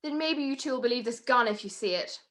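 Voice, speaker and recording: A high, sharp-voiced society dame acted by a woman from a 1940s radio detective play. She is a cornered, defiant schemer who snaps back in indignant, clipped retorts. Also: fast talker, lightly acted. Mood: threatening, defiant. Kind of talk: old-time radio detective drama.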